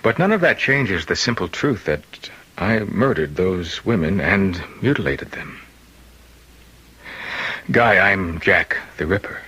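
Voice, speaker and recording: An actor playing a gravely ill, solemn and insistent man who believes a killer's spirit possesses him, in old-time radio drama style. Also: low voice, sharp tone, full character voice, rough recording. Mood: grave, confessing.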